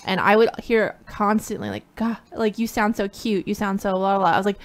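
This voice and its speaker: A woman's voice: mid-range voice, a little fast. Woman with a small, light voice. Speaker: podcast co-host. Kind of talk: casual chat.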